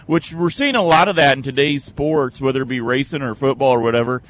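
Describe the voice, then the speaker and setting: A man's voice: mid-range voice, a little fast, a bit bright, poor audio. A man co-hosting a sports radio show in a folksy, casual, conversational way.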